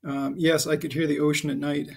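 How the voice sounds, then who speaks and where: Casting: middle-aged American male schoolteacher, slightly high voice with a gravelly edge, halting informal explainer. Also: flat delivery. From United States (Connecticut and Southern California). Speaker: high school history teacher. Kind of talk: informal recorded class introduction over slides.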